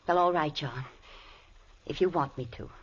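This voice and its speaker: An actress in a 1940s radio drama playing the nervous young wife of a reclusive professor, flustered and pleading. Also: female voice, deep voice, normal speed, slightly rough, lo-fi sound. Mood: gentle.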